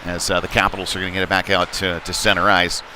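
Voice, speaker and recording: A man doing live play-by-play of a youth hockey game in a halting, folksy, conversational way. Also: deep voice, monotone. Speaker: play-by-play commentator. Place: indoor ice rink during a game. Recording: noisy arena, clear rink ambience behind.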